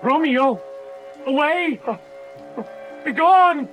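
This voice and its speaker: An actor playing a loyal, anxious young friend in a Shakespearean radio drama, speaking with dramatic urgency. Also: male voice, very high-pitched, extremely slow, over loud music, heavily acted. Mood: urgent warning.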